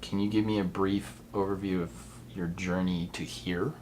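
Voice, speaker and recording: A man's voice: sits low, flat delivery, normal speed, darkish tone, slight background noise. A man conducting a casual interview, asking curious short questions with frequent ums.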